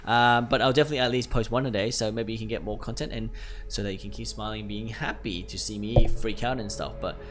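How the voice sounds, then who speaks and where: A man hosting a let's play, reading game text aloud and reacting in a casual, expressive way. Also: fairly low pitch, fast. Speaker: gaming video host. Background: game music.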